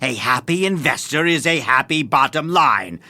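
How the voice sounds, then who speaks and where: A pompous, irascible millionaire in a noir audio drama. The voice is bright and forceful with a gravelly creak, and it swings widely in pitch as he scolds in a theatrical way. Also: lively intonation, moderate pace, no echo. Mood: dismissive, irritated.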